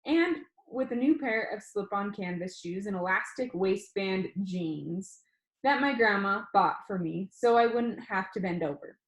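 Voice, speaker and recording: A woman's voice: medium pitch, expressive, measured pace. Woman teacher reading aloud in an echoey room. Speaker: teacher reading a novel aloud to an online class. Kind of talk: classroom read-aloud, first-person storytelling. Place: indoor room, online class call.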